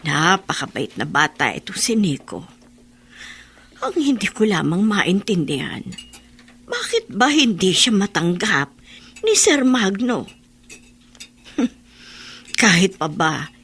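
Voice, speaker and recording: Kindly older housemaid, radio drama: folksy, slow-paced, with very mobile pitch. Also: female voice, sing-song, breathy edge, full character voice. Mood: puzzled, sympathetic. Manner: warm, folksy, musing aloud. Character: kindly, sympathetic older female household helper. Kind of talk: Filipino radio drama acting.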